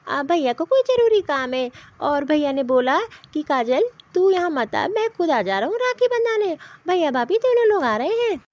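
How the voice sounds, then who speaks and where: A woman acts out a young cartoon daughter-in-law who schemes and grumbles. Her pitch is extremely high and very animated. The sound is slightly muffled and lo-fi. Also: normal speed, hardly any pauses, no echo. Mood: sweet, persuasive. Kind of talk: Hindi comedy cartoon story dialogue.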